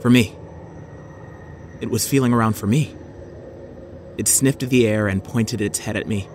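A man's voice: on the low side, steady tone, measured pace, darkish tone, a little hiss or hum. A man giving a dramatic first-person reading of a scripted horror story.

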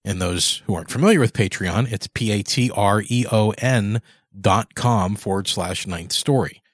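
Man, podcast host, lowish pitch, dry close sound, reflective, conversational, rephrases as he talks. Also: moderate pace, husky, dry recording. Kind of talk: conversational interview and host talk.

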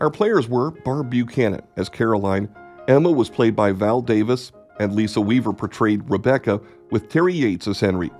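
A male narrator reads a radio drama script. His voice is fairly low, and music plays clearly behind him. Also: moderate pace.

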